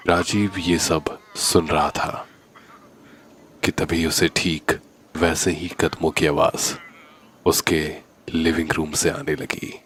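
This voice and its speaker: A man narrating a scripted horror story in a deliberate, suspenseful manner. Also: rumbling low, fairly bright tone, slight background noise.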